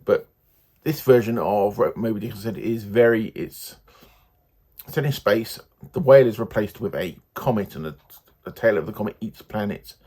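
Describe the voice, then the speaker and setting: A man giving a casual, unscripted book review in a hesitant, halting, rambling way. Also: fairly low pitch, warm tone, husky.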